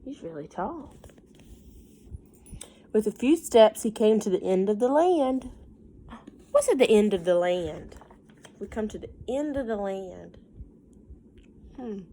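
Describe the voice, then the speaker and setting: Animated, unhurried woman reading to children. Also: medium pitch, a little hiss or hum. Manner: explains words simply and prompts young listeners with questions. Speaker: teacher reading a picture book aloud to children. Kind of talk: children's read-aloud with casual asides and questions.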